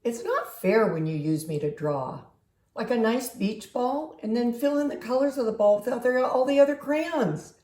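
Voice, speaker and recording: Indignant storybook crayon played by a woman, raised pitch, emphatic protests, echoey room. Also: animated, moderate pace, darkish tone, natural voice. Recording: roomy, echoing home recording.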